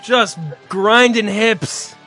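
A male radio cohost joking crudely, with loud profane outbursts and laughter. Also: high voice, steady tone, extremely slow, loud music behind the voice. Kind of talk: crude, excitable banter.

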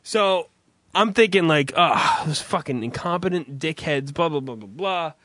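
Male podcast host, raspy after a cough, profane rambler, slightly high voice, dry sound. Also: expressive, moderate pace, a bit bright, no echo. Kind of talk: crude comedic podcast chat.

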